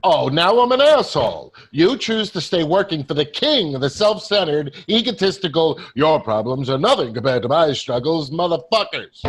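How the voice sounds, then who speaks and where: Man acting a husky, sardonic dead friend. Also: fairly high pitch, big pitch swings, moderate pace, few pauses. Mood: indignant. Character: dead male friend, sardonic, exasperated, pushy but caring. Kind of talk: staged play reading.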